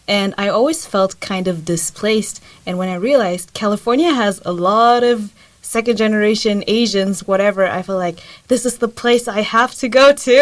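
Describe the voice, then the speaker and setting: A young woman from Germany, a guest on a college radio show, talking casually and laughing often. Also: medium pitch.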